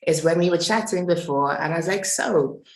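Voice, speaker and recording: A woman's voice: lowish voice, normal speed, roomy sound. A woman hosting an interview over a video call, effusive and admiring as she asks her questions. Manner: effusive, admiring, repeats praise. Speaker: interviewer, host.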